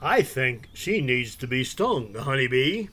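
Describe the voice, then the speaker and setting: A man playing calm husband Bob. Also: medium pitch, a little slow, breathy edge, heavily acted. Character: Bob, Bee's devoted, menacing husband. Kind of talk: radio drama acting.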